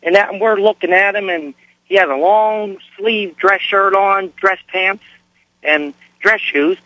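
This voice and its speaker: Male caller from Pennsylvania, casual storyteller, somewhat high voice, dry sound, slightly hoarse with a cold. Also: measured pace, sharp tone, no echo, low-quality recording. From Pennsylvania, United States. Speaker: radio show caller.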